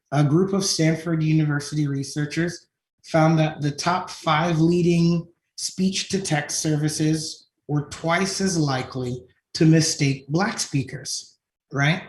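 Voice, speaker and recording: A man's voice: on the high side, slow, a little mellow. A man presenting his company at a design conference, often ending points with a questioning "right?". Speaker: tech company founder presenting. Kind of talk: conference talk. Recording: roomy echo.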